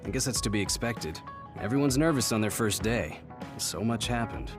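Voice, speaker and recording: An actor playing a kind, protective, earnest military captain in a video game. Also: male voice, fairly low pitch, lively intonation, normal speed, music in the background, close to an everyday voice. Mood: concerned, regretful. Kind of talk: English video game dub acting.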